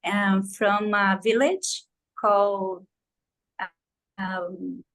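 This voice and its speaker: Slow-speaking Brazilian woman. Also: medium pitch, steady tone, fairly bright tone, poor audio. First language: Portuguese. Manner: halting, non-native English. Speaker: participant translating for a guest. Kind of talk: informal introduction and translation on a group call.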